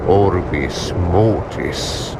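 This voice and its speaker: A man's voice: low voice, drawn-out words, gravelly, heavy background noise, heavily acted. An actor playing a proud, scornful sorcerer king in a fantasy audio drama, commanding and theatrical. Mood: menacing. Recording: loud music bed behind voice.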